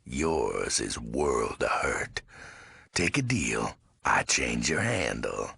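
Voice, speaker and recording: An actor playing a menacing, crazed undead ghoul gang boss in a video game, very raspy and gravelly, talking in clipped street slang. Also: male voice, rumbling low, big pitch swings, slow, bright, forceful tone, dry recording, exaggerated voice. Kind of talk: video game character acting.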